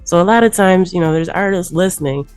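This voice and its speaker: Relaxed woman hosting a podcast. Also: lowish voice, quick pace, clear, resonant tone, no room sound, faint music behind. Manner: relaxed and reflective, asks long questions, laughs along. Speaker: podcast host. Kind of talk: casual interview conversation.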